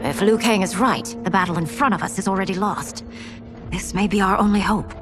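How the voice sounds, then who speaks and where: A resolute young warrior princess from a fantasy game, acted by a woman. Her mid-pitched voice is earnest and urgent, with music clearly behind it. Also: fairly even intonation, brisk, natural voice.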